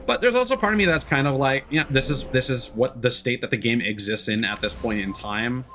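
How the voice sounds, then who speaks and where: A man doing casual livestream commentary as a game streamer, talking in a rambling, halting, sweary way. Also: medium pitch, a bit bright, a little hiss or hum.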